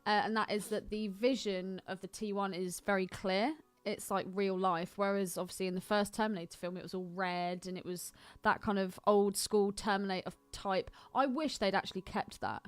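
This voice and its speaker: A woman's voice: mid-range voice, fairly even intonation, moderate pace, few pauses, smooth, no room sound. A woman co-hosting a podcast, chatting casually about a film in a blunt, critical way. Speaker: podcast co-host. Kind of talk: casual film commentary chat.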